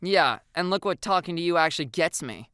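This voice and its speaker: A woman voicing an exasperated, sarcastic teenage girl in an audio story, stern and quippy but soft-hearted underneath. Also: on the low side, animated, a little fast, clear, resonant tone, no room sound, clean studio sound. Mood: grudging, wry.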